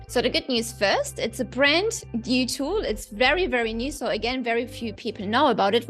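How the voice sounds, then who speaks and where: A woman with a German accent, German her first language, giving an upbeat, emphatic business explainer to camera, often checking in with "right?". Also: fairly high pitch. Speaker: business coach and YouTube host. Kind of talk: enthusiastic talking-to-camera explainer.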